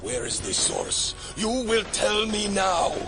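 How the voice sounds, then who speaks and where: An actor playing a fierce, suspicious and zealous armed raider in an audio drama. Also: male voice, high-pitched, very animated, slow pace, heavily acted. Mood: menacing, suspicious. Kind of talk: modern audio drama acting. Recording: loud dramatic music and effects behind.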